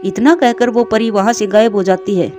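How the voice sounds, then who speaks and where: A woman's voice: mid-range voice, fairly even intonation, moderate pace, a little mellow, soft background music. A woman narrating a scripted children's story.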